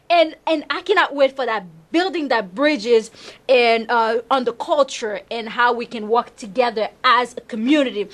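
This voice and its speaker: A middle-aged woman with a Haitian accent, a councilmember being interviewed, talking with enthusiasm and often repeating words as she searches for them. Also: a little high. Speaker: councilmember guest. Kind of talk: interview conversation.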